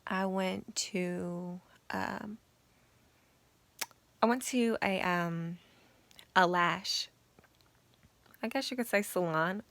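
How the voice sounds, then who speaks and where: A young woman with a raspy, gravelly voice chats casually to the camera. She talks low, loose and informal, with a lot of fillers. The sound is dry and close. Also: dry recording. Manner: chatty, relaxed and informal, with many fillers. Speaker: beauty vlogger.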